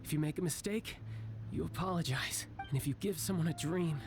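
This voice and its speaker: An actor playing a self-assured, wry young warrior and protective big brother in a video game, speaking theatrically. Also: male voice, on the high side, fairly quick, natural voice. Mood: earnest. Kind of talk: video game character acting. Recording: game music behind. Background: game music.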